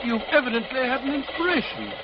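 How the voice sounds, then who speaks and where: A man playing an earnest, easily astonished British gentleman sidekick in a radio drama, blustery and exclamatory. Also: extremely high pitch, huge pitch swings, fast talker, heavily acted. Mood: curious. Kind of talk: old-time radio drama acting. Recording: muffled, noisy old radio recording.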